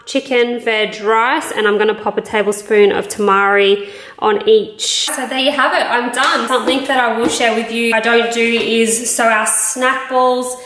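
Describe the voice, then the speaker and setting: A woman's voice: a little high, fairly even intonation, normal speed, fairly bright tone. A woman in her thirties with an Australian accent, casually explaining a cooking routine to camera in a home kitchen. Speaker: health vlogger. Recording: echoey kitchen with background noise.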